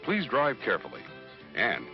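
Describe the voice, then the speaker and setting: Low-voiced man making a polite vintage announcement. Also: huge pitch swings, moderate pace. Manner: polite, formal. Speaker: drive-in theater announcer. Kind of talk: vintage courteous public announcement. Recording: dull vintage film soundtrack with music.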